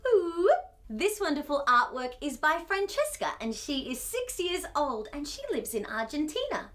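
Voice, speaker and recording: Very high-pitched woman presenting children's storytime. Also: big pitch swings, moderate pace, a bit bright. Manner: gushing, exclamatory, speaking directly to young children.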